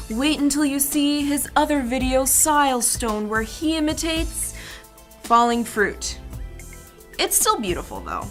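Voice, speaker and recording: Bright, higher-voiced woman presenting a web show. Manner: wry, playful asides. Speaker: host of an online video show. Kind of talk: scripted, jokey presenting about animation videos.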